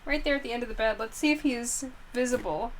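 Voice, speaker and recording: A woman's voice: a little high, fast, slight background noise. A woman chatting casually with her live stream viewers from her bedroom before a book reading, a bit nasal, rambling and laughing easily. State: a little nasal. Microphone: headset while wearing headphones.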